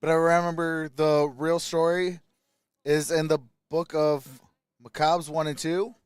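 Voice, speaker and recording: A man's voice: fairly high pitch, little pitch movement, unhurried, smooth, no room sound, clean studio sound. A man hosting a sports livestream, calling plays casually and chatting with viewers. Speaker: sports livestream host reacting to a game. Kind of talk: casual live play-by-play and chat with viewers.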